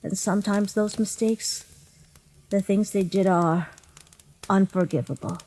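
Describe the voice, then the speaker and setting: A woman voicing a gentle, motherly monster queen in a comic dub, speaking with formal, measured politeness. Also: mid-range voice, fairly even intonation, lightly acted. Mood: grave, bitter. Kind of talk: comic dub character acting. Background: music.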